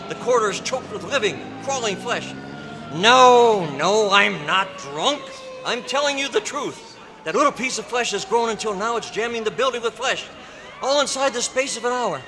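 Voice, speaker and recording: A man playing an agitated newspaper reporter in an old-time radio drama, urgent and pleading, as if phoning in a story, recorded live. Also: very high voice. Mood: insistent pleading. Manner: urgent, insistent, pleading, as if on telephone. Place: hotel convention meeting room, live performance. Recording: noisy live convention room recording.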